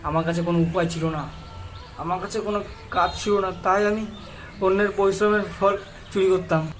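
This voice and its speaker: A man's voice: sits high, flat delivery, brisk, fairly bright tone, a little hiss or hum, low-quality recording. A man playing a sneaky, meek village thief in a cartoon story, speaking in a subdued way. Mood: pleading.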